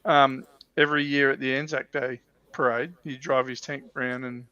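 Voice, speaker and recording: A man's voice: medium pitch, flat delivery, moderate pace, pushed and bright, clean tone, no echo. Australian man chatting, slightly dull audio. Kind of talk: casual chat, storytelling. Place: livestream video call.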